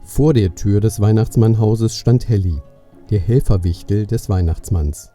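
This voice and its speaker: A man's voice: fairly low pitch, unhurried, mellow, soft background music. A man narrating a scripted Christmas story for a family audio play.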